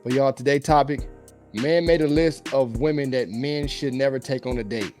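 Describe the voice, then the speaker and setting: Slightly hoarse man with a mellow voice. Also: mid-range voice, normal speed, faint music behind. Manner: loose, rambling, conversational. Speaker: dating commentary vlogger. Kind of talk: casual opinionated commentary.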